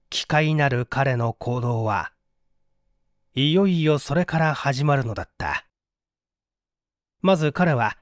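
A man's voice: a little high, a little mellow, no room sound, studio quality. A man reading mystery fiction as an audiobook narrator, in a theatrical, suspenseful manner.

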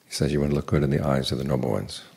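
Very deep gravelly older male monk. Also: fairly even intonation, darkish tone. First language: English. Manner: plain, unhurried teaching with dry humor. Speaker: Buddhist monk giving a dharma talk. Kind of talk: evening dharma talk.

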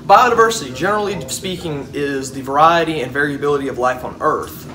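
A teenage boy recites a school presentation in a steady, earnest way, standing by a classroom whiteboard. The mic sits some way off from him, and voices chatter clearly in the room. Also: fairly high pitch, brisk, recorded from a distance, rough recording. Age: teens. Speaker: student presenter.

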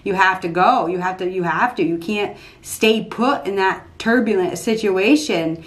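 A woman in her fifties giving an unscripted tarot reading at a desk in a small dark room, repeating herself emphatically as she thinks aloud. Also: mid-range voice, measured pace. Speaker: tarot reader.

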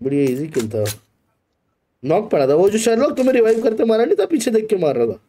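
High-pitched, animated man gaming. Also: a little fast. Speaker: squad gamer in a team match. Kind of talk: casual gaming chat.

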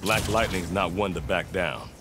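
Deep-voiced steadfast superhero. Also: male voice, normal speed. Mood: resolute. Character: principled male superhero teacher, steadfast. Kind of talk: video game voice acting. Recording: loud game effects noise.

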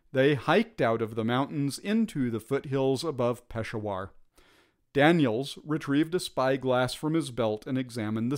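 A middle-aged man narrating a scripted adventure story as its author. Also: mid-range voice. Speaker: author reading own story.

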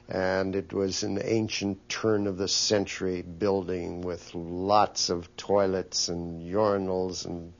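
A man recounting anecdotes as an interviewee, in a recollecting, storytelling style. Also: low voice. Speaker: interviewee, former radio colleague. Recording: dull, muffled interview recording.

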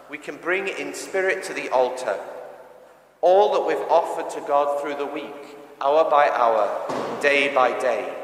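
A man's voice: on the high side, a bit bright. Echoey church sermon from a male preacher. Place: large reverberant church interior. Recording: echoey church with long reverb.

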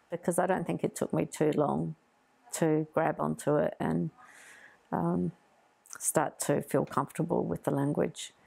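A woman in her sixties, born in Greece, talking slowly and reflectively about her childhood, pausing often, seated in her art studio. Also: deep voice, creaky, mellow. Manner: thoughtful, hesitant, many pauses and fillers. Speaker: artist interviewee. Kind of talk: reflective personal storytelling.

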